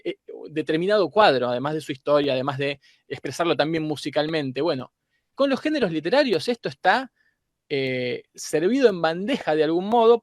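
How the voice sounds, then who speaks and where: A man's voice: fairly high pitch, no echo, clean studio sound. A man with an Argentine accent giving a thoughtful, teacherly explanation as a panel guest, often searching for the next word. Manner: didactic, hesitant word-searching. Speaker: guest academic.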